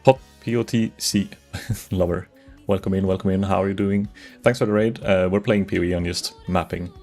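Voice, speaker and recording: A man's voice: low-pitched, steady tone, moderate pace, a little mellow, faint music behind. A Swedish man streaming a game, chatting casually and rambling to viewers. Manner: rambling, chatty, greets viewers, frequent restarts. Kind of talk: casual live-stream chat while gaming.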